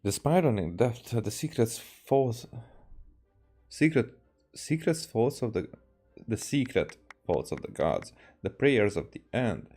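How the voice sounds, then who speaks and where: A man voicing a grandiose, theatrical teenage girl from a game, reading her florid lines haltingly. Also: mid-range voice, very animated, mellow, soft background music, heavily acted. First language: Hungarian. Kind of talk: reading game dialogue aloud.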